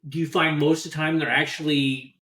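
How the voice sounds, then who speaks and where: A podcast host interviewing casually, with halting, hesitant phrasing. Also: medium pitch, level tone, fairly bright tone. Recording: roomy, echoing sound.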